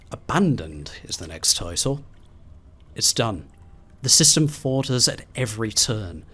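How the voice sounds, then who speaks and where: A man doing video game commentary and reading in-game documents aloud in a theatrical, expressive way. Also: medium pitch. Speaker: gaming video host.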